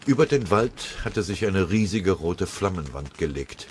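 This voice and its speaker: Male audiobook narrator, low voice, dramatic scripted war narration, light battle noise underneath. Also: lively intonation, unhurried.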